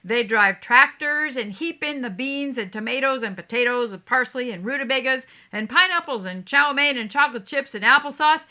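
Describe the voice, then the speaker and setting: Woman as a young boy, high pitch, childlike, cheerful, eager, trusting, stage play. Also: normal speed, hardly any pauses, sharp tone. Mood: earnest, bright.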